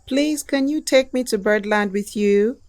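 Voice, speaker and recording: Woman voicing a slow-talking, wily cartoon tortoise. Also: on the high side, a little mellow. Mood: hungry, wheedling. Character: hungry, wily male tortoise, pleading and scheming. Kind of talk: children's cartoon voice acting.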